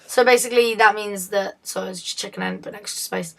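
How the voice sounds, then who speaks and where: Hoarse woman explaining a game build. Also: mid-range voice, steady tone, fast. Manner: chatty, self-correcting, often laughs at slips. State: hoarse, losing her voice. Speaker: video tutorial host. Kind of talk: casual game tutorial.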